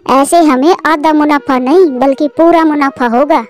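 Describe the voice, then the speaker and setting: Lady doing a sneaky lazy cartoon bird wife, really high voice, music under it. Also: normal speed, a little mellow, lightly acted. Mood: scheming. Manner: self-indulgent, conniving. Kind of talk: Hindi children's cartoon voice acting.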